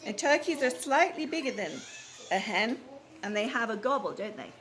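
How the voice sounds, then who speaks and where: Bright, animated woman teacher reading aloud. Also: a little high. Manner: questioning and prompting, deliberate repetition. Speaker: kindergarten teacher. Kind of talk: interactive storybook read-aloud to young children. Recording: faint background room noise.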